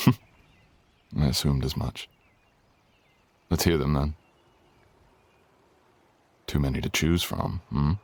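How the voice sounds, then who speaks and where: A man's voice: a bass voice, fairly even intonation, slow, long pauses, no echo, full character voice. A man playing a courtly, teasing, tender dragon king in human form, speaking intimately as a lover in an ASMR roleplay. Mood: playful teasing. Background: music.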